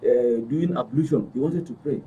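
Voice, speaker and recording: A man in his fifties with a Northern Nigerian accent, telling a personal story haltingly and repeating phrases. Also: fairly high pitch, lo-fi sound, a loud crowd. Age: 50s. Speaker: panel guest. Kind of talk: personal storytelling in a studio discussion. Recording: distant mic with faint noise.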